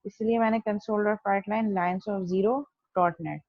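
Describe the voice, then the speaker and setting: Woman teacher with creaky voice, dull recording. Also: mid-range voice, fairly even intonation, slow pace, no echo. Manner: didactic, step-by-step, poses and answers own questions. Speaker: programming teacher. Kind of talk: explanatory online coding lesson, Hindi-Urdu mixed with English terms.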